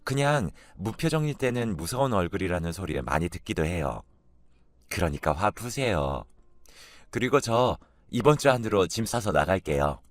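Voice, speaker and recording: A man's voice: sits low, animated, normal speed, no room sound. A man as a sly, taunting young woman. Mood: apologetic, polite. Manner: mocking, provocative. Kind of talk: dramatised story dialogue.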